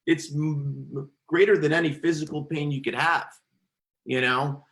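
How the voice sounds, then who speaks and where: A man's voice: on the high side, steady tone, unhurried, fairly bright tone. A man who stutters, a podcast guest telling his own story in a reflective, conversational way, with repeated words and restarts. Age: adult.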